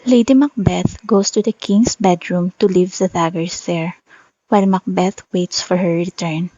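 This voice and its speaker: A woman reading scripted narration in a steady, measured way for an amateur radio drama. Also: fairly low pitch, lo-fi sound.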